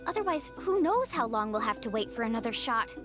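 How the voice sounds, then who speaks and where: A woman's voice: fairly high pitch, big pitch swings, brisk. A woman voicing a confident, earnest young female guide in an anime game, with music behind. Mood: determined.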